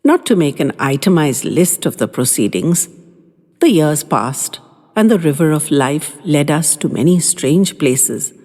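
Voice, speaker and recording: A woman narrates a first-person love story with a breathy, slightly husky voice. Her reading is animated and dramatic, with her pitch swinging widely through each sentence. A long reverb trails after her words. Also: a little slow. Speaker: audiobook narrator.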